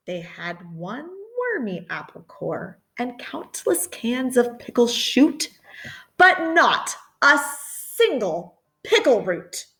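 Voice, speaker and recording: Animated woman reading a picture book aloud. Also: high voice, rough recording. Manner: animated, deliberate, dramatic emphasis. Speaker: storytime reader. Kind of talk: expressive children's picture book read-aloud with chatty asides.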